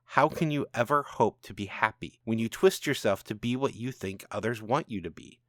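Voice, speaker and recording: A man voicing a calm, knowing, commanding supernatural father in a storybook reading, speaking in a measured, taunting way. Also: medium pitch, no echo, studio quality, natural voice. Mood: stern, persuasive. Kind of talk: storybook narration dialogue.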